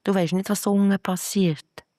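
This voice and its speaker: A narrator as a friendly, amused little cloud, raised pitch. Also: steady tone, moderate pace, dry recording, studio quality, close to an everyday voice. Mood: amused, teaching. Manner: gently teaching, patient.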